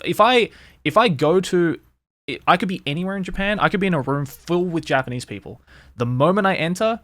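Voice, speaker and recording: A man's voice: fairly high pitch, no echo. Candid young man, dry close sound. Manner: candid and animated, swears freely, lots of "like". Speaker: host. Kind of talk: casual interview chat.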